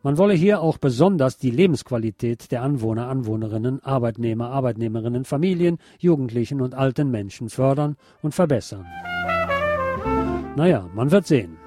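A man presenting radio news as scripted commentary, speaking deliberately with a wry edge. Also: medium pitch, lively intonation, music in the background.

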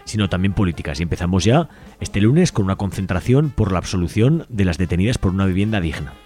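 Low, quick-talking man presenting radio announcements. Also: lively intonation, mellow. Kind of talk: scripted announcement of upcoming local events.

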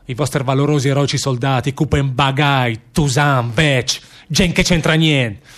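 An actor playing a bitter, weary condemned anarchist emigrant worker with a northern Italian Lombard accent, declaiming in dialect in a radio drama. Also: male voice, medium pitch, normal speed, fairly bright tone, lightly acted. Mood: bitter, accusing. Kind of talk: stage-style radio drama monologue in dialect.

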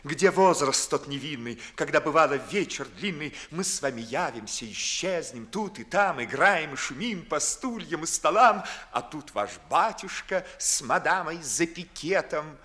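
An ardent, high-voiced theatrical young gentleman. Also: lively intonation, measured pace, hardly any pauses. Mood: nostalgic. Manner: theatrical, declamatory. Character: ardent, witty young gentleman, talkative and mocking. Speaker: stage actor. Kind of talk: classical verse comedy acting, 1950s audio play.

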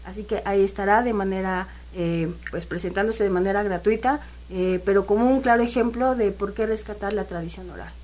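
A woman's voice: mid-range voice, a little fast, hardly any pauses. Enthusiastic woman organizer. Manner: enthusiastic, warm-hearted, fillers like "eh, pues". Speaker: festival organizer. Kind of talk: casual radio interview.

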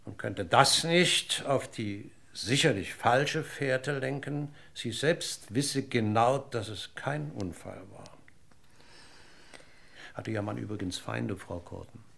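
An actor playing a seasoned police inspector in a radio crime drama, deliberate and insinuating, with ironic courtesy and a gravelly voice. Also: male voice, mid-range voice, fairly bright tone, full character voice. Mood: suspicious, courteous.

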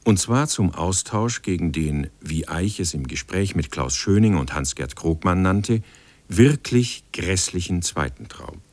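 A man reading scripted documentary narration in a sober, measured manner. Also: deep voice, lively intonation, dry recording.

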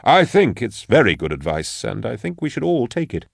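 A man's voice: mid-range voice, sing-song, moderate pace, creaky, no echo, studio quality, voice pushed up, exaggerated voice. A man voicing an eccentric male tech-priest adviser, pushy and teasing. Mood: insistent.

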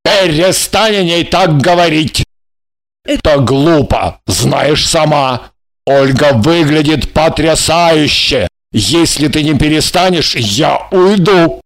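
An actor playing a young, earnest and exasperated lover in an audiobook drama, speaking emphatically. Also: male voice, mid-range voice, expressive. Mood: threatening. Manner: emphatic, drawn-out, pleading then threatening. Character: young earnest, honourable, exasperated male lover, soon a father.

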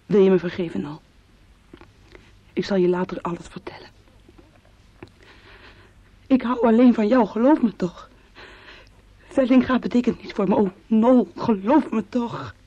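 A woman's voice: medium pitch, a little slow, pauses often, warm tone, dry recording, lightly acted. An actress in a radio play, playing an anguished young woman who speaks in an intimate, imploring way of love and fear. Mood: pleading. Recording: dull old radio play recording.

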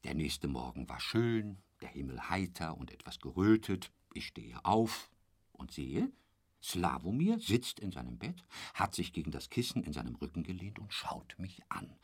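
A boastful travelling salesman in a radio play. His low, husky voice swoops about wildly as he rambles on, chummy and crude, often sinking into a breathy murmur. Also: big pitch swings, moderate pace, exaggerated voice. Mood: rambling, reminiscing. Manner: chatty, rambling storyteller with a pet polite phrase, correcting himself. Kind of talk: German radio drama acting.

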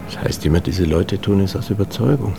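A sceptical, ageing man in a radio play, with a fairly low voice. He answers warily and tersely, and music plays clearly behind him. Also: brisk. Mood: wary. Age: middle-aged or older. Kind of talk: German radio drama acting.

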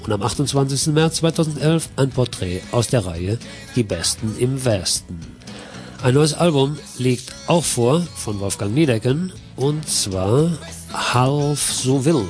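A man's voice: medium pitch, expressive, a little slow, darkish tone, soft background music. A male radio host who presents songs and gives background facts in an informative way. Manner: informative, announcing tracks. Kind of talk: radio music show presenting.

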